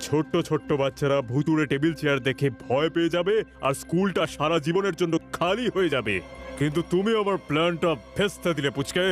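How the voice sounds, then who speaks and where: A man's voice: on the high side, fast, soft background music, lightly acted. A man voicing a bitter, scheming villain in a children's cartoon, with a theatrical delivery. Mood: menacing. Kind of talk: dubbed children's cartoon acting.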